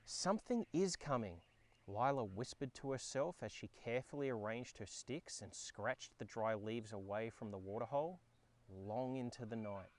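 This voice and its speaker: An Australian man narrating a children's storybook in a dramatic, expressive way. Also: fairly low pitch, normal speed. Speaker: storyteller and host. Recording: background ambience and effects noise. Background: sound effects and ambience.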